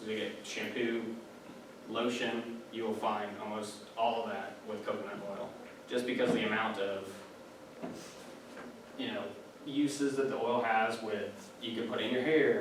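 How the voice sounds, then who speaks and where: A young man giving a casual, chatty classroom presentation, full of fillers and asides. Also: medium pitch. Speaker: student giving a class speech. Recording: echoey room, distant mic, background noise.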